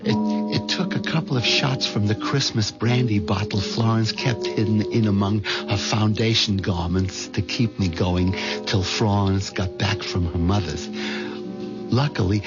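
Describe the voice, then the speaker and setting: An actor playing a nervous, henpecked middle-aged husband with a hesitant manner in a 1970s radio drama. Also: male voice, lowish voice, fairly even intonation, unhurried, close to an everyday voice. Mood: anxious, confiding. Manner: stammering, hesitant, anxious. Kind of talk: 1970s radio drama acting and first-person narration. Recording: muffled 1970s radio broadcast with music.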